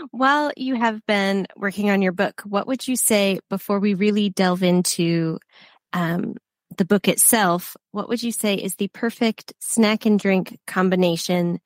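A woman's voice: medium pitch, no echo. A young American woman from the United States hosting a podcast interview, bubbly and effusive, gushing over books into a close mic. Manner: bubbly, effusive, quick to gush. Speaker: podcast host.

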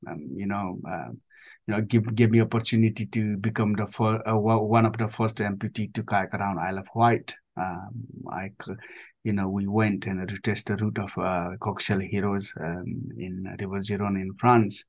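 A man in his forties from Nepal with a Nepali accent, answering interview questions haltingly and repeating himself as he searches for words. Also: fairly low pitch, steady tone, muffled recording. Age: 40s. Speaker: interview guest, adventurer and army veteran.

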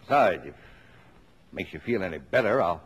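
Blustering, animated American family father. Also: low voice, fairly quick, husky. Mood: brisk, stubborn. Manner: brash, bossy, emphatic. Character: blustering, stubborn, jovial middle-aged father of a big family. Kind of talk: 1950s American radio drama acting. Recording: noisy vintage radio broadcast recording.

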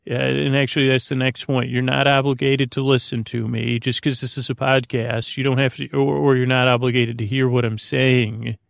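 A man hosting a sleep podcast in a meandering, halting, droning monologue full of tangents and repeats. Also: little pitch movement, creaky, dry recording, studio quality. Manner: droning, low-key. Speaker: podcast host.

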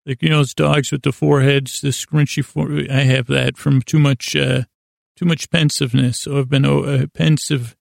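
Very creaky, rambling male bedtime podcaster. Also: medium pitch, little pitch movement, normal speed, dry recording, clean studio sound. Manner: hesitant, meandering, stumbles over words, drifts into tangents. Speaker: podcast host. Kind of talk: rambling bedtime podcast monologue, meant to lull listeners to sleep. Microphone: close studio mic.

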